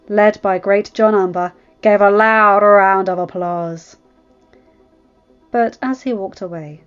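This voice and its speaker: A woman reading fantasy fiction aloud in an expressive scripted narration. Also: on the low side, muffled recording. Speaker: fan fiction audiobook reader.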